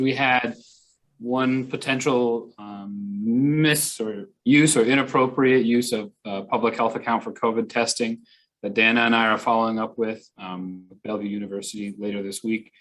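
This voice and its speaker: A man gives a client services update on a conference call. His voice is medium in pitch, and he talks casually with a lightly wry touch. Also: fairly bright tone, low-quality recording. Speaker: client services staff member. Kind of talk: informal work update on a call.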